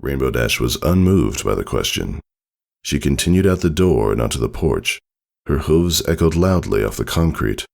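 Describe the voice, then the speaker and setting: A man narrating a scripted story dramatically, in a solemn and measured manner. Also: a bass voice, expressive, vocal fry. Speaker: fan fiction narrator. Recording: soft music behind the voice.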